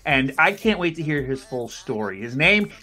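High, bright-voiced enthusiastic podcast host. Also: male voice, sing-song, normal speed. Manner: enthusiastic, stammers and repeats words. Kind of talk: upbeat interview hosting.

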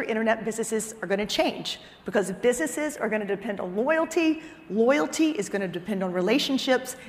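A woman with a somewhat high-pitched voice presents a business talk. She drives points home through repetition and slips into conversational asides. Her voice carries the long reverb of a hall. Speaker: chief marketing officer giving a conference talk.

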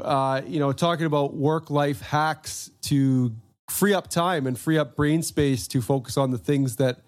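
A man in his forties hosting a podcast, talking in a relaxed, chatty way with plenty of fillers. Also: fairly high pitch. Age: 40s. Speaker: podcast host. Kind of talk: casual interview chat.

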